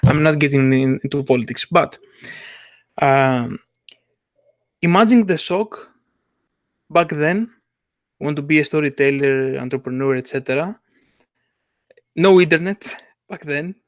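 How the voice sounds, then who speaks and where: Man, casual chat, halting, restarts, fairly high voice, dry recording. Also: no room sound. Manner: halting, many restarts and fillers.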